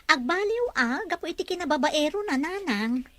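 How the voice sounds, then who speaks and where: A woman's voice: high-pitched, expressive, normal speed, sharp tone, dry recording, clean studio sound. A woman playing a devoted, forgiving young wife in a drama, speaking in an earnest, pleading manner. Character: devoted, forgiving young wife hoping her cheating husband changes. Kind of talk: Ilocano radio-style drama acting.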